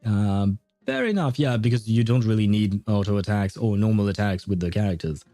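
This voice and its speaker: A man's voice: on the low side, expressive, fairly quick, dark tone, no echo, soft background music. A man livestreaming a video game, chatting casually with viewers in a conversational, meandering way.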